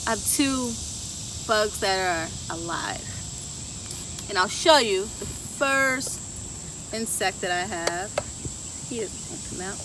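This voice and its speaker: A woman hosting a children's story time outdoors in a garden, reading and teaching in an upbeat way and asking young viewers questions. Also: on the high side. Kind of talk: reading aloud and teaching kids. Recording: distant outdoor mic with insect noise. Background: insects and crickets outdoors.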